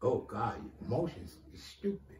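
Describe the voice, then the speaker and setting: A man philosophizing casually in a street interview, stressing and repeating his words in a preachy, drawn-out way. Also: medium pitch, big pitch swings, a little mellow. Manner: preachy, drawn-out, repetitive emphasis. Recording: echoey, distant from the mic.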